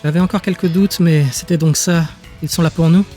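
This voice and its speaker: A woman's voice: on the low side, steady tone, a little fast, warm tone, soft background music, close to an everyday voice. A woman playing a determined, reproachful wife in dialogue from an audiobook drama. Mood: wry, tense. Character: determined, reproachful wife who tracked her husband.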